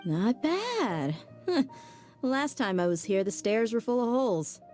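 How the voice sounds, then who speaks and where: Wry mercenary bodyguard. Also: female voice, fairly high pitch, sing-song, slow pace. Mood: teasing. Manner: wry, laid-back, teasing. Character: confident female mercenary bodyguard, teasing and protective. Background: game soundtrack music.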